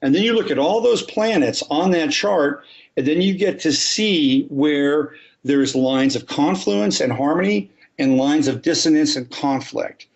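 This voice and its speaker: A man's voice: on the high side, lively intonation, unhurried, gravelly, lo-fi sound. A man, an astrologer guest on a podcast, explaining his subject methodically and step by step. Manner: methodical, talks through examples step by step.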